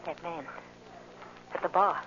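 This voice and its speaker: A woman's voice: fairly low pitch, unhurried, a bit bright. An actress playing an intense, guarded young woman, hesitant and brooding, in a 1940s radio drama. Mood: guarded, nervous. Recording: muffled old radio recording, faint noise.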